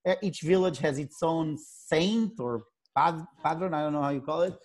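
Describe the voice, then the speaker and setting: A middle-aged man with a Portuguese accent, speaking as a guest on a video call, often stalling on repeated words. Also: a little high. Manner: halting, many repeated "and" restarts. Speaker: sailing company CEO, guest.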